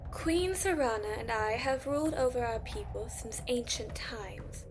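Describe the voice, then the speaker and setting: An ancient siren empress, played by a woman, haughty and regal. Her voice is high and she speaks slowly and disdainfully, with music clearly behind her. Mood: cool, commanding. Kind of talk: fantasy audio roleplay acting.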